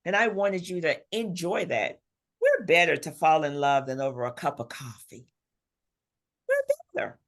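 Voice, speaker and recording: A woman's voice: deep voice, moderate pace. A woman talking as an interview guest and author, telling stories expressively and acting out imagined bits of dialogue. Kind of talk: conversational interview, animated storytelling.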